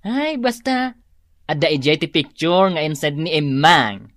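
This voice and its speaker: A woman acting an unhurried, gossiping village neighbor with an Ilocano accent in a comedy radio drama. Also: deep voice, sing-song, no echo, clean studio sound. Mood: gossipy.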